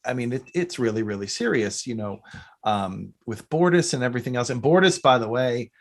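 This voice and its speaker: Rambling male podcast host, medium pitch. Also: lively intonation, fairly quick. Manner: rambling, self-interrupting. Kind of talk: casual fan chat.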